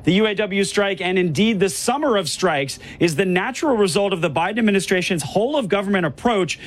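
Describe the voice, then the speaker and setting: High-voiced man reading news. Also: lively intonation, brisk. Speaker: news correspondent. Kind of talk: scripted news report.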